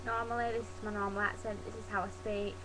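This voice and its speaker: A young woman from Yorkshire with a softened Yorkshire accent, chatting casually to her webcam. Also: mid-range voice, little pitch movement, fast, noisy background. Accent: Yorkshire, softened toward standard British English. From Yorkshire, England. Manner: chatty, demonstrates dialect words, laughs at herself. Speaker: vlogger. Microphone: webcam mic.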